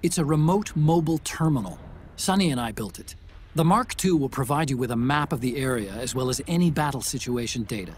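An actor playing a nerdy engineer giving a technical mission briefing over a radio link in a video game. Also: male voice, medium pitch, brisk. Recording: game audio with steady noise.

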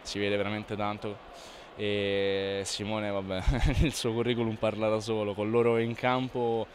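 Young male athlete, post-match, low and monotone, loose repetitive answers, handheld mic. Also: measured pace, slight background noise. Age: young adult. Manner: relaxed, repetitive, self-correcting answers. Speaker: volleyball player. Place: indoor sports hall in front of a sponsor wall. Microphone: reporter's handheld mic held close.

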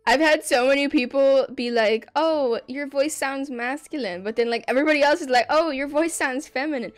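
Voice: sits high, normal speed, soft background music. A young adult streamer chatting casually over gameplay in their bedroom, rambling and laughing often. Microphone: desk microphone.

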